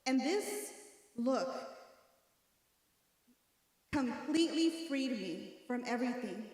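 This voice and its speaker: A young woman, a religious sister from Mexico who grew up in Texas, tells her faith story to a youth gathering with an earnest, direct manner. Her voice sits high, and it carries from well away from the mic. The long reverb of a large hall hangs behind every phrase. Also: expressive, slow, darkish tone. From Mexico, raised in East Texas. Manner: earnest storytelling, addresses audience directly, shifts between English and Spanish. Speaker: religious sister giving a talk. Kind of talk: personal testimony to a youth congress. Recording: echoey hall, voice from far off.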